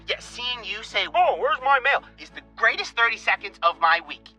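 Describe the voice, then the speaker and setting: Frantic, spiteful office worker for comedy audio drama; sharp, bright voice, highly animated. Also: male voice, normal speed, soft background music, exaggerated voice. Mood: spiteful, gloating. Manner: rambling, bitterly petty, awkwardly polite. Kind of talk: comic audio drama monologue.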